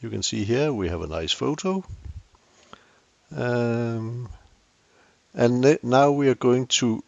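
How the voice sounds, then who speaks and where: A man's voice: mid-range voice, takes it slowly, gravelly, no room sound, dull audio. An older Danish man with a Danish accent, hosting a camera tutorial with dry, self-deprecating humor. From Denmark. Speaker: tutorial host.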